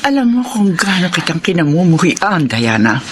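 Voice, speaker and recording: A woman's voice: lowish voice, big pitch swings, normal speed, background noise. An actress playing a stern, bitter, grieving older mother in a radio drama, speaking in an accusing, dramatic way. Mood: cold, bitter.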